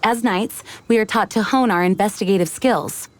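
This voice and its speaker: A woman's voice: mid-range voice, noisy background, close to an everyday voice. Woman playing a composed, formal lady knight commander. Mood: dutiful. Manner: formal, measured, earnest. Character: composed, dutiful young female knight commander, polite. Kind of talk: anime video game voice acting. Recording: music behind the voice.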